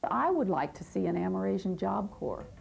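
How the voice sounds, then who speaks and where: A woman's voice: mid-range voice, sing-song, moderate pace, gravelly, faint music behind, poor audio. A woman advocate and teacher speaking earnestly and with passion in a documentary interview, sometimes restarting her sentences.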